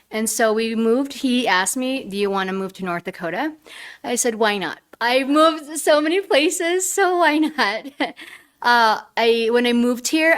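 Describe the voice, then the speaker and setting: A middle-aged woman from the Philippines speaking as a guest, telling her story in an informal, conversational way. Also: on the high side. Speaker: guest speaker, arts nonprofit co-founder.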